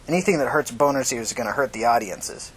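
A man's voice: lively intonation, fast, slightly rough. A man voicing a menacing, bossy gangster in a short, demanding, growling manner for an audiobook story. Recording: noisy recording with low rumble.

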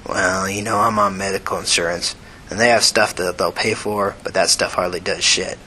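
A male reader performs a frail, sickly man from an audiobook story with a soft, folksy Southern drawl. He mumbles low and unhurriedly, tired and resigned. A faint noise sits behind the voice. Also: fairly even intonation, normal speed, poor audio. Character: sickly thin weary man, slow and resigned.

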